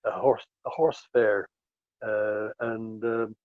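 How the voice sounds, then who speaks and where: A man being interviewed, explaining things casually and haltingly, repeating words as he goes. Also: lowish voice, flat delivery, no room sound. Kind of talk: casual reminiscing. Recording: thin phone-like line.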